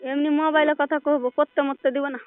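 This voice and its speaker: A woman's voice: high-pitched, little pitch movement, a little fast, no room sound. A woman chatting casually and flirtatiously on a phone call in a rural Bengali dialect. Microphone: telephone. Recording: muffled narrow-band phone call.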